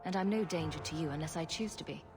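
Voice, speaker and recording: A woman's voice: sits low, fast, music in the background, lightly acted. An actress playing a self-assured, defiant woman mage in a fantasy video game, speaking in a firm, challenging way.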